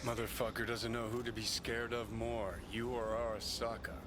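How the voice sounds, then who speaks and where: A man's voice: mid-range voice, flat delivery, normal speed, natural voice. Foul-mouthed rebel rocker, scornful and blunt, male actor, noisy game track. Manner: profane, scornful. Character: sneering rebel rocker in a man's head.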